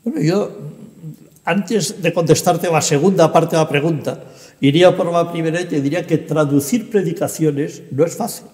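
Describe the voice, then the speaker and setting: High-voiced older man in an echoey room. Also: moderate pace, a little mellow. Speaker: podcast guest, translator and editor. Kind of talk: animated interview conversation. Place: indoor book-lined room. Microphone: lapel. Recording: echoey room with long reverb.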